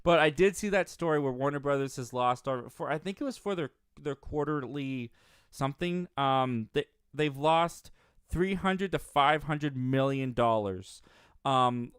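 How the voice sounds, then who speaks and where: A man's voice: medium pitch, measured pace, clear, resonant tone, dry recording, clean studio sound. A young man hosting a live movie stream, reading viewer comments aloud in an upbeat, rambling way with many restarts. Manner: upbeat, rambling, frequent restarts and self-corrections.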